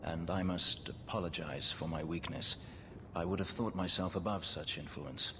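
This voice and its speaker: A man's voice: low-pitched, moderate pace, pushed and bright, slight background noise. A man playing a proud, brooding warrior companion in a video game, grave and formal in speech. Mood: grave, contrite. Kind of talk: video game cutscene acting. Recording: long reverb, music behind.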